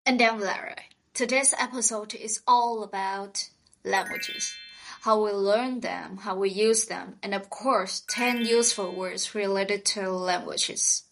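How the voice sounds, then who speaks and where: A woman co-hosting a scripted English vocabulary podcast whose first language is Vietnamese, reading in a slow, clear way. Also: medium pitch, steady tone, slight background noise, low-quality recording. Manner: slow, clear, read-aloud delivery. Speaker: podcast co-host.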